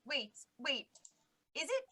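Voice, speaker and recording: Woman playing an excitable, high-voiced newsroom assistant. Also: takes it slowly, exaggerated voice. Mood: flustered. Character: excitable newsroom assistant relaying urgent backstage messages. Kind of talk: comic radio play acting.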